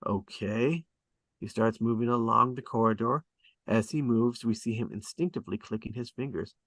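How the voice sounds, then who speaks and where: A man with a slightly low voice narrates a screenplay's stage directions. He is measured and descriptive, breaking the action into short dramatic phrases. The sound is dry, with very little room in it. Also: a bit bright, no echo. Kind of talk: scripted screenplay narration at a table read.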